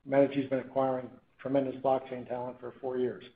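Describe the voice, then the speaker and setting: An American man from New England commenting off the cuff as an executive on an earnings call, halting and often restarting his sentences. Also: medium pitch, flat delivery. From New England, United States. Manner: halting, self-correcting, with repeated restarts. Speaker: company chief executive. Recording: tinny phone line with room echo.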